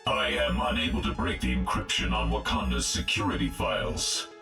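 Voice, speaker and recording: Game robot villain, extremely low voice, metallic effect, cold formal menace, echoing, imperious delivery. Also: male voice, flat delivery, normal speed. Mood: cold, superior. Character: cold male artificial intelligence robot villain, menacing and superior. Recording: echoing processed voice over loud music.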